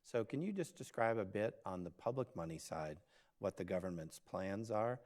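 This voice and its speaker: A man's voice: on the low side, lively intonation, normal speed, darkish tone. A man moderating a live conversation, posing organized questions in a friendly way. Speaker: moderator, professor.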